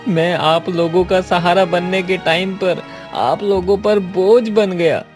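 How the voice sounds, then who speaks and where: A man's voice: high voice, slow, faint music behind. A man playing a sickly, despondent family man in a cartoon, talking in a mournful, earnest way. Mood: despairing. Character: Rohan, sickly despondent husband and son.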